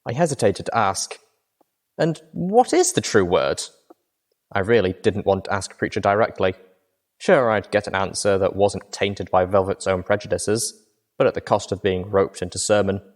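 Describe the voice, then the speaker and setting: A man reading a fan fiction novel aloud as a first-person narrator, steady and even. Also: low-pitched, normal speed. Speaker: audiobook reader.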